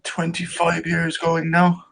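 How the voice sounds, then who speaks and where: A man's voice: a little high, completely flat delivery, slow pace, vocal fry, fairly bright tone. A man, a guest brewer, chatting in an easygoing way on a video call. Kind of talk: casual video call chat.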